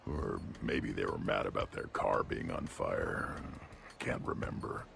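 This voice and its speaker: An actor playing a huge young male alien brute in a video game, blunt and mischievous, growling deadpan in terse sentences. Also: unhurried, heavily acted. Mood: deadpan, amused. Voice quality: deep gravelly growl. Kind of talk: video game character dialogue.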